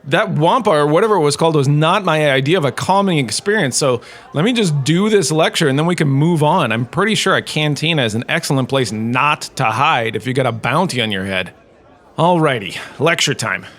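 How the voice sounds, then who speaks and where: An actor playing a vain, self-important professor and holodrama star, giving a wry, chatty comic lecture. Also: male voice, on the high side, a bit bright. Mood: impatient, self-satisfied.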